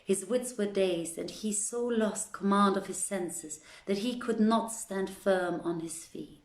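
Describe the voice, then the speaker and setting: A woman reading a story aloud as a presenter, with a measured, deliberate and dramatic delivery. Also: mid-range voice, darkish tone, echoey room. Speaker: reader presenting a literary reading series.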